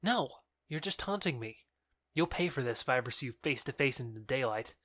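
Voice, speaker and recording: Pushy indignant young lover, audio drama, no room sound at all. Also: male voice, medium pitch, lively intonation, brisk, fairly bright tone, no echo. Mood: exhausted, threatening. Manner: challenging, indignant, theatrical. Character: belligerent young lover challenging his rival. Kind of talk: audio drama acting of modernized Shakespeare.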